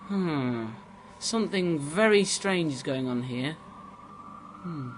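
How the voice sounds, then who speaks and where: A man's voice: fairly high pitch, expressive, takes it slowly. A young man playing an earnest, anxious sidekick in a radio drama, fretful and urgent in his delivery. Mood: urgent, puzzled. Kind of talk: amateur radio drama acting. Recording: faint background noise.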